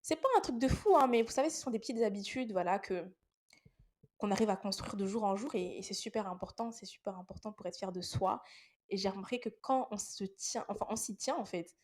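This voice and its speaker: A young woman hosts a faith podcast while she has a head cold. She speaks straight to her listeners in an earnest, encouraging tone, and her voice sits at an ordinary middle pitch. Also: a little fast, a little mellow. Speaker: podcast host. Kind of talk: casual faith talk podcast.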